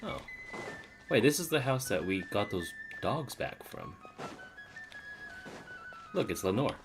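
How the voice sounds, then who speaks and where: A man's voice: lowish voice, sing-song, slow, poor audio. A man who streams games, giving casual commentary and thinking aloud as he plays. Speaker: game streamer. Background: game music.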